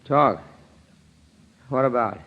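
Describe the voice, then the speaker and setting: A man's voice: mid-range voice, slow, close to an everyday voice. A man playing a groggy, distressed drunk being sobered up in a 1940s radio drama. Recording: muffled, faintly noisy old radio recording.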